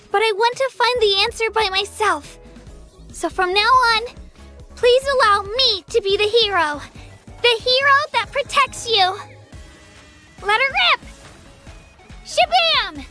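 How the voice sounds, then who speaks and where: A woman's voice: very high-pitched, sharp tone, full character voice. A woman voicing an earnest, eager young girl adventurer in a video game, girlish and sincere, easily flustered. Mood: earnest, determined, then excited, bold. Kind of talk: video game character voice lines.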